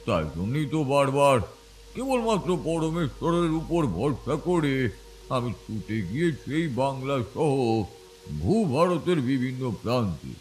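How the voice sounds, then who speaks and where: A man acting in an audio story as a proud, wandering tantric elder who tells of his past with emphatic, measured authority. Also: medium pitch, sing-song, a little mellow, faint music behind. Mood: reminiscing.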